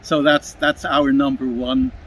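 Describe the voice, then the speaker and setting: A man from Switzerland with a Swiss German accent, chatting outdoors in a park in a halting way, often restarting his words. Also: medium pitch, level tone, fairly bright tone, a little hiss or hum, low-quality recording. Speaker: street performer. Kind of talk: casual chat. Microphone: filming phone held by interviewer.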